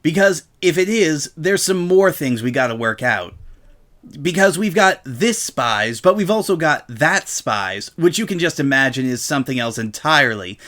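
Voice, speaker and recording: A man's voice: on the high side, expressive, normal speed, fairly bright tone. A man voicing a brash female pegasus pony who rambles on with feigned cluelessness. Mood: eagerly obtuse.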